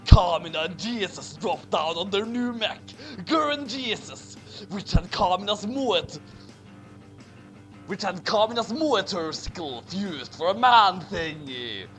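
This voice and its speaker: A man with a high, very animated voice reads a comic story in a theatrical way. He goes slowly and haltingly, with music playing behind him. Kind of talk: comedic dramatic reading.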